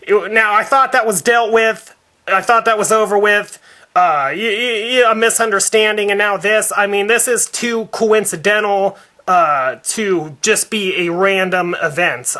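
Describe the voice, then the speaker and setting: A man acts out an indignant, devout father in a prank phone complaint. His voice sits high with a bright, sharp edge. He stammers, stalls and circles back over his grievances. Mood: flustered, suspicious. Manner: halting, stammering, repetitive. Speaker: prank caller.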